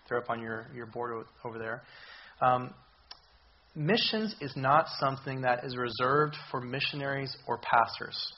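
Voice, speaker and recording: A hoarse man preaches in a church, pausing and restarting often as he speaks. His voice sits at an ordinary middle pitch. The recording is dull and lo-fi, with faint hiss behind him. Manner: halting, many ums and restarts. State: hoarse, recovering voice. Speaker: visiting missions speaker. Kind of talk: church sermon.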